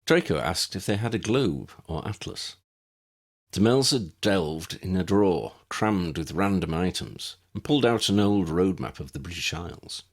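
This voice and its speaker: A man's voice: low-pitched, animated, normal speed. A man reading scripted fiction aloud as an audiobook narrator.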